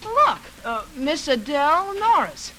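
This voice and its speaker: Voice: sits high, sing-song, a little slow. A performer playing an eager, nosy young boy in an old radio drama, colloquial and pushy. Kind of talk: 1940s radio acting. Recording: noisy old radio recording.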